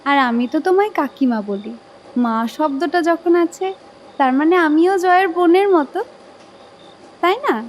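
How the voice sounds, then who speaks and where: A woman's voice: high voice, a little slow, pauses often, slight background noise. A playful young woman, voiced by an actress. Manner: teasing, lively, playful.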